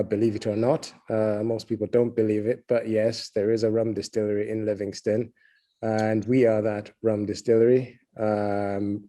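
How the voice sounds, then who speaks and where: A middle-aged man from Uganda, a head distiller, answering interview questions in a relaxed way with many fillers. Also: on the low side.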